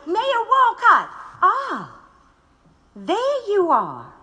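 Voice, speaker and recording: Lady doing a fiery anarchist, high bright voice, swoops a lot, takes her time. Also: wildly swooping pitch, extremely slow, heavily acted. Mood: defiant. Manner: defiant, sharp-tongued. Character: defiant 1880s female anarchist speaker. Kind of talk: audio drama acting.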